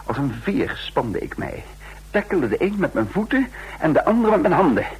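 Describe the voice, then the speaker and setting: Husky, animated defiant fighter. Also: male voice, a little high, moderate pace, heavily acted, rough recording. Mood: urgent recounting. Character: defiant trained fighter recounting his own escape. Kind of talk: radio drama, first-person narration and dialogue.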